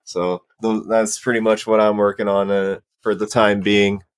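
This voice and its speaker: A man speaks casually as a panel guest. His voice is very deep and clean, with little rise and fall. The recording is close and dry. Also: level tone, normal speed, clean tone, no echo. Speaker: podcast guest, cosplay prop maker. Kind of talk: casual chat.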